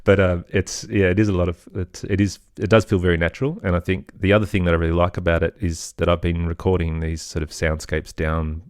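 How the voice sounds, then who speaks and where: Low-voiced man in reflective interview talk. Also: flat delivery, a little fast, hardly any pauses, smooth, dry recording, clean studio sound. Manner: hesitant, thoughtful, hedging with softeners. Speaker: podcast guest, children's audio storyteller.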